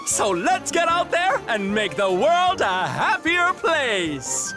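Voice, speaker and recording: Brief: effusive game showman, big heart, unhurried and highly melodic delivery over music. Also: very animated, heavily acted. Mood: upbeat, affectionate. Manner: theatrical, effusive. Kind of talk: video game voice acting.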